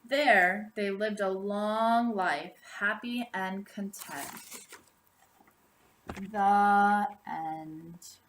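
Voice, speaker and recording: A woman narrating a storybook aloud, expressively and deliberately, drawing out the ends of phrases. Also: mid-range voice, fairly even intonation, slight background noise. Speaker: storybook reader.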